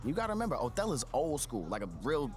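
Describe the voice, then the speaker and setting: A man's voice: a little high, very animated, faint music behind. An actor playing a persuasive sports agent who talks strategy with his client in a video game. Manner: persuasive, casual, quick. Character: fast-talking male sports agent, strategic.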